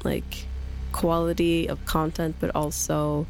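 A woman co-hosting a podcast, speaking English as a second language in halting, hesitant phrasing with lots of "like" and restarts. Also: low-pitched, flat delivery, creaky, a little mellow, faint music behind, rough recording. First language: not English. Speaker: podcast co-host. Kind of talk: casual podcast chat. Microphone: podcast microphone.

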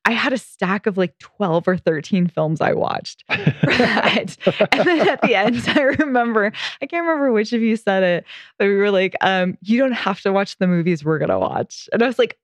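A woman's voice: mid-range voice, moderate pace, dry recording. Chatty woman co-host, dry close sound. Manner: chatty, laughs often, animated storytelling. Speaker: podcast co-host. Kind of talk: casual chat.

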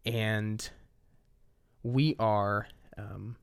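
A man with a smooth, resonant, somewhat low voice, chatting casually as a podcast co-host. He speaks haltingly and searches for words. The recording is dry and close. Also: steady tone, no room sound. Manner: hesitant, halting with fillers. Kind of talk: casual conversation. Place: small home studio.

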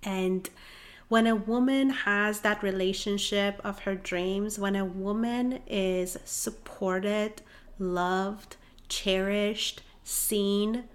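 A woman in her thirties with a Persian accent, talking as a relationship coach in an earnest, encouraging solo monologue that rises to excited emphasis. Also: medium pitch, very slow. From Iran. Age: 30s. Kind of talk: heartfelt solo podcast monologue.

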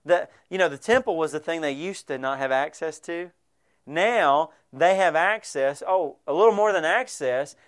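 Clear-voiced male pastor preaching. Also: on the high side. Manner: earnest, direct, many rhetorical questions to listeners. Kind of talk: sermon to a congregation.

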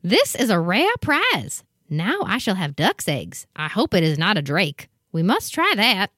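A woman's voice: medium pitch, wildly swooping pitch, a little slow, fairly bright tone, no echo, studio quality, full character voice. A woman playing an eager, greedy old peasant woman in an audio storybook. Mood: pleased, scheming.

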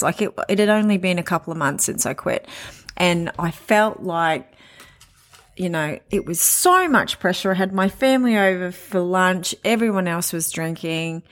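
A woman in her late forties hosting a podcast, telling her own story in a candid, conversational way with pauses and restarts. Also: on the low side. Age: 40s. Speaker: podcast host. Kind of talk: personal monologue.

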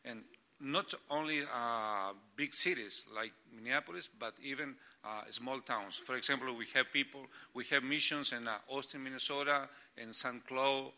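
A middle-aged man whose first language is Spanish talks through his church work in halting, searching English. His voice is very bright and forceful. He stops often to find the next word. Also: mid-range voice. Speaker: church planting catalyst. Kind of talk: guest sharing ministry stories.

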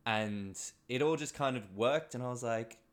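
A man's voice: mid-range voice, moderate pace. A man from Australia chatting casually as a playwright guest on a podcast. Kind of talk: casual chat.

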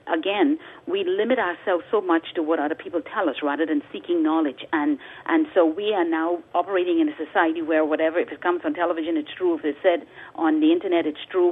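A woman's voice: low voice, breathy edge. A woman from the Bahamas, a guest author on a talk radio interview, speaking earnestly and repeating words for emphasis like a preacher. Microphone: phone call. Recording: tinny phone line.

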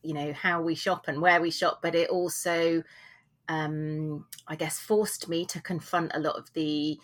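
British woman, low-pitched voice, rambling and self-deprecating, forties, home recording. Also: level tone, unhurried. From England. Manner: chatty, rambling, self-deprecating with frequent laughs. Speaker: guest author. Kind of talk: casual interview chat.

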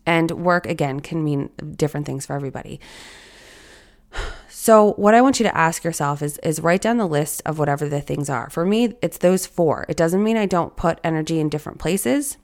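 Woman, thirties, raspy, hoarse, low-pitched, reflective solo podcast host. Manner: reflective, encouraging, speaks straight to listeners. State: hoarse from allergies or illness.

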